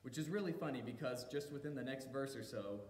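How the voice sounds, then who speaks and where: A man delivering a sermon as a pastor, telling the story in measured phrases with deliberate pauses and emphasis. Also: a little mellow. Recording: echoey hall reverb, faint low rumble.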